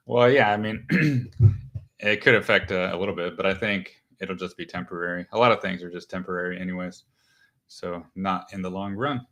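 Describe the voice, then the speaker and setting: A man hosting a live market show, talking casually through stock charts with a smooth voice and many fillers and restarts. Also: deep voice, moderate pace, a bit bright. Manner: loose, thinking aloud, many fillers and restarts.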